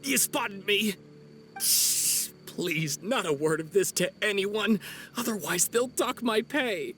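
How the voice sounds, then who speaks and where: A man voicing a theatrical spooky oni performer in a video game, a hammy fellow playing at haunting people, with a creaky voice. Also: high voice, expressive, slow, fairly bright tone, faint music behind, heavily acted. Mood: sheepish pleading.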